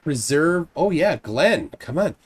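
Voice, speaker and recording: A man's voice: fairly high pitch, very animated, slow pace, slightly rough. A man with a Minnesotan accent hosting a casual late-night call-in show, rambling and restarting his sentences. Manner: rambling, self-interrupting, chuckling.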